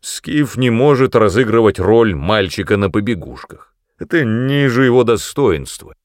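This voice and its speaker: A man voicing a vain, explosive male demon mentor in an audiobook, with a gruff, barking, indignant manner. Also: lowish voice, expressive, measured pace, no room sound, clean studio sound.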